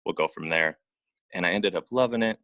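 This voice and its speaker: Low-voiced man telling his story casually. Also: brisk, fairly bright tone, dry recording, studio quality. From Oregon, United States. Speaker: interview guest, acupuncturist and massage therapist. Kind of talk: casual personal storytelling in an interview.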